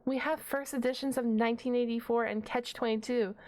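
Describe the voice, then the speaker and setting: A woman playing a shy, nerdy, easily flustered young half-dragon librarian in an intimate ASMR roleplay, halting and stammering. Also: on the high side, steady tone, a little mellow, close to an everyday voice, poor audio. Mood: nerdy, proud. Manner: bashful, halting, stammering, nervous giggles.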